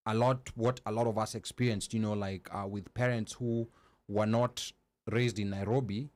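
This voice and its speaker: A man's voice: lowish voice, fairly even intonation, moderate pace, a little mellow. A man co-hosting and producing a live talk show, chatty and full of fillers as he reads out viewer comments. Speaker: co-host and producer.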